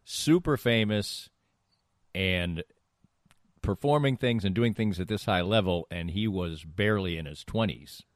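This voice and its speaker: A man's voice: deep voice, unhurried, dry recording, studio quality. A middle-aged man bantering casually as co-host of a talk podcast.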